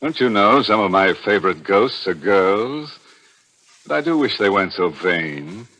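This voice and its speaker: A man's voice: lowish voice, animated, a little slow, bright, forceful tone, full character voice, poor audio. A man playing a ghoulish, wisecracking host of a 1940s radio horror show, droll and mock-sinister, drawing out his words. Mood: droll, teasing. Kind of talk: 1940s tongue-in-cheek radio horror hosting. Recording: vintage 1940s radio recording with music.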